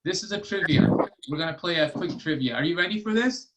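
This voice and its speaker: A man's voice: on the high side, expressive, quick pace, heavy background noise. A man hosting a children's storytime and trivia over a video call, explaining step by step in a patient, encouraging way. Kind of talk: casual teaching to children.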